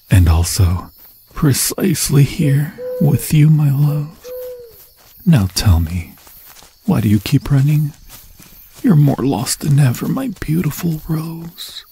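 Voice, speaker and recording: A man voicing an obsessive, lovesick forest demon in an ASMR fantasy roleplay, breathy and slipping into whispers, with a theatrical, sweetly taunting manner. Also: medium pitch, warm tone, a little hiss or hum, exaggerated voice.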